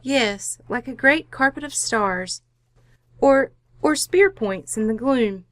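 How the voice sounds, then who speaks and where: A woman's voice: fairly high pitch, expressive, takes it slowly, no echo, clean studio sound. An actress playing a dreamy, romantic young woman, languid and yielding, in an old-time radio drama.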